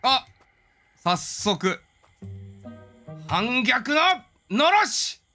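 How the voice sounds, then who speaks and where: A man's voice: very high voice, big pitch swings, extremely slow, sharp tone, soft background music. A man casually commentating on a video game, reacting aloud and talking to the game as he plays. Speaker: streamer playing a video game. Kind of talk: casual game commentary with dramatic line readings.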